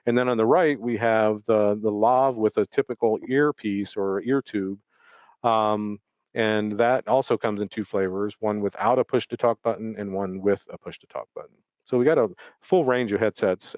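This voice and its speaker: A man's voice: lowish voice, a little mellow, dry recording. A man giving an explanatory, unscripted product presentation in a webinar, hesitating often with ums and repeated words. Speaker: product presenter for an audio equipment maker. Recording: dull, lo-fi webinar audio.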